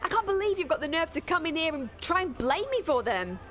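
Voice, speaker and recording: An actress playing a feisty young English pub barmaid in a radio soap, combative, sarcastic and sharp-tongued. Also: female voice, extremely high pitch, sing-song, brisk. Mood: indignant, defiant.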